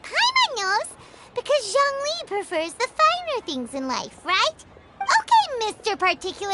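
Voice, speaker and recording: Squeaky little floating girl sidekick, super high and bouncy, loud game music behind. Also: heavy background noise, exaggerated voice. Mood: teasing. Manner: speaks of herself in third person, squeaky and bouncy. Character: tiny floating girl companion, excitable and greedy. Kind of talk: video game cutscene dialogue.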